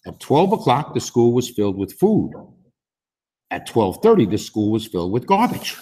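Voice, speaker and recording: A man in his sixties with a husky voice, reading a picture book aloud to young children in an expressive, unhurried way. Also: medium pitch, slight background noise. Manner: expressive, unhurried, with emphatic swings. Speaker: story time host reading aloud. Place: school library, indoors.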